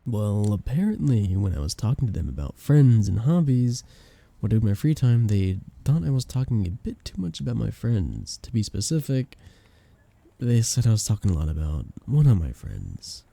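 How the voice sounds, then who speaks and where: A young man acting a flustered best friend with a hidden crush in an intimate ASMR romance roleplay, soft-spoken, casual and hesitant. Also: on the low side, sing-song, moderate pace, gravelly, no echo, heavily acted. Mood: bashful, confessing.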